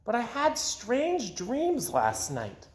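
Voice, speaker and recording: Man acting sleepy little storybook girl, high pitch, drawn-out, wistful, roomy sound. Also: wildly swooping pitch, full character voice. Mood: dreamy, hungry. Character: dreamy, sleepy young girl in a picture book.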